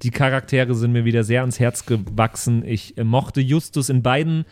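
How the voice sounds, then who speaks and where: A man's voice: mid-range voice, steady tone, moderate pace, a little mellow. A man co-hosting a podcast in casual banter, effusive and teasing with his friends. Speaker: podcast co-host and role-player.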